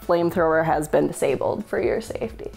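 A woman's voice: low-pitched, fairly quick, gravelly, loud music behind the voice. A woman in her thirties hosting a casual show-and-tell, joking and laughing often, recorded in an indoor studio with an off-camera mic. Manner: playful, jokey, laughs often. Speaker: host. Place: indoor studio with a blue wall.

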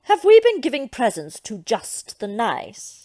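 A grand, theatrical devil in a comic audio panto. The voice is high and husky, swooping wildly through its range with slow, imperious delivery. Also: female voice, wildly swooping pitch, a little mellow, dry recording, full character voice. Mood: puzzled, suspicious.

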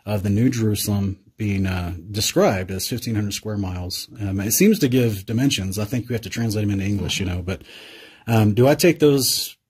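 A man's voice: lowish voice, brisk, darkish tone, slightly rough. A man interviewing a guest in casual conversation, thinking aloud and searching for words with many fillers.

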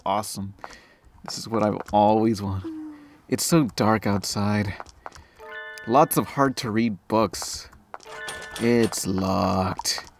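Slow-paced sarcastic man doing game commentary. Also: fairly low pitch. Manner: sarcastic, profane. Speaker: let's play commentator. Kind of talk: casual joking game commentary, reading on-screen text aloud. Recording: clear background noise.

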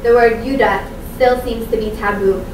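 A woman at a seminar table reading translated excerpts aloud to a conference, measured and careful, with small restarts. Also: a little high, steady tone. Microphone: far room mic. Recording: echoey room, far from the mic, background noise.

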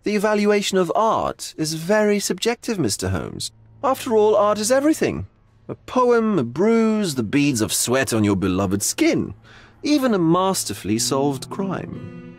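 A theatrical gallery owner for a game cutscene. He is languid and pompous, lingering on words with philosophical flair. His pitch rises and falls dramatically, in a dry, close recording. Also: wildly swooping pitch, unhurried, no echo, full character voice.

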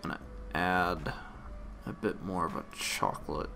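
A man hosting a casual, unscripted software tutorial, thinking aloud in short, halting phrases. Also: low-pitched, fairly even intonation, vocal fry, faint music behind.

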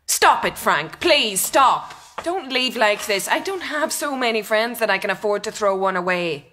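Radio drama: proud young lady, brisk, articulate, defiant, crisp forceful tone at mid pitch. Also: lively intonation, soft background music. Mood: defiant, indignant. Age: young adult. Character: headstrong, proud, quick-tongued young lady.

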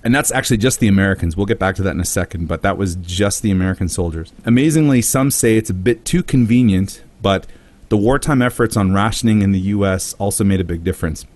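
A man's voice: on the low side, quick pace, a little mellow. A man hosting a casual comedy podcast, telling a story with jokes and silly put-on voices for quotes. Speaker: podcast host.

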